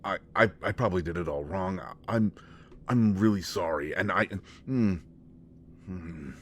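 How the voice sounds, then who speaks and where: Man acting a very deep-voiced, hesitant, bashful young guy. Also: a little slow, fairly bright tone, slight background noise. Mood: nervous, apologetic. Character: shy, awkward young male shapeshifter confessing to a close friend. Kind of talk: intimate roleplay audio drama.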